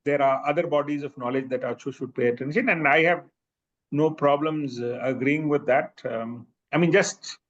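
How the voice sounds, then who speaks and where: A man with an Indian English accent, a professor answering audience questions in a thoughtful way, pausing with fillers and restarting sentences. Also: mid-range voice, a bit bright, slight background noise. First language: Bhojpuri. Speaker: presenting professor. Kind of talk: academic Q&A answer. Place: seminar room. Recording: slightly muffled recording.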